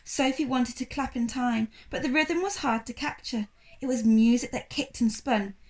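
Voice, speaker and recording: A woman in her thirties giving an expressive read-aloud narration of a children's novel. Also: on the high side, normal speed. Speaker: storybook reader. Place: small indoor room, reclining on cushions.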